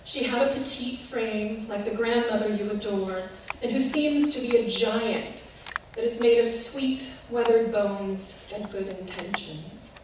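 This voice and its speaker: A woman giving an expressive, measured reading of a literary piece, recorded in a reverberant room from a distance. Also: fairly high pitch. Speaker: reader of a short literary piece. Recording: echoey room, distant mic, faint noise.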